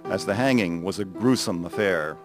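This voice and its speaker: A man's voice: low voice, moderate pace. A man narrating a dramatized history from a script, with plucked guitar behind him. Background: plucked guitar music.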